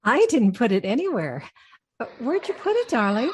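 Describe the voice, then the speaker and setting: A woman's voice: a little high, huge pitch swings, moderate pace, slightly rough, heavily acted. An actress playing a polite, flustered married woman in a radio comedy, hesitant and genteel. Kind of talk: old-time radio comedy acting.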